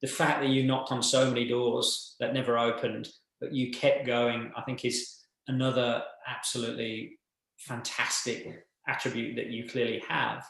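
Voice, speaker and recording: A man's voice: medium pitch, little pitch movement, normal speed, vocal fry, a bit bright. A man hosting an interview, encouraging and praising his guest. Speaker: host. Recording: very echoey room.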